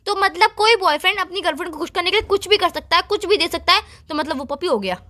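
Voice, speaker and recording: A woman's voice: very high voice, lively intonation, quick pace, pushed and bright, full character voice. A woman, playing an indignant, pouty girlfriend who scolds and argues in an acted phone-call comedy. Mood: defensive. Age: 20s.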